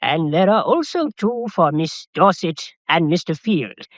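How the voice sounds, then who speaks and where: A dry, slightly muffled radio mystery recording. a dutiful, deferential employee with a fairly high, lilting voice, formal and a little hesitant. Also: male voice, big pitch swings, measured pace, no room sound. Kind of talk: radio drama acting.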